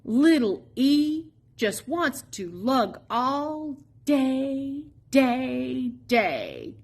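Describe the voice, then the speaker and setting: Very slow, animated woman reading a picture book. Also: sits high. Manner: sing-song, drawn-out, playful emphasis on sound words. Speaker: storybook reader at a public library. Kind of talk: expressive read-aloud of a children's picture book.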